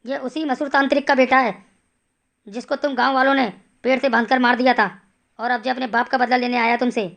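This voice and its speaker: A woman voicing a calm, fatherly male holy man who speaks solemnly in an animated horror story. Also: high-pitched, fairly quick, gravelly.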